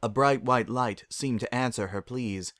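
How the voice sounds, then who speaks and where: A man's voice: medium pitch, a little slow, a bit bright, no room sound, studio quality. A man narrating a scripted story as a dramatic reading. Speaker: narrator of fan fiction.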